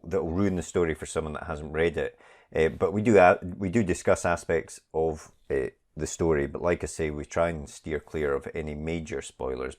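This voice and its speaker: A man hosting a writing podcast, reading an intro and asking interview questions in a relaxed way with many fillers. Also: very deep voice. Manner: relaxed, filler-heavy delivery. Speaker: podcast host.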